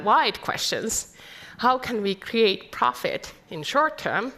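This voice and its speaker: A woman's voice: mid-range voice, fairly bright tone. Finnish woman giving a conference talk. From Finland. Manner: earnest, poses rhetorical questions. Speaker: conference speaker. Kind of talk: conference presentation.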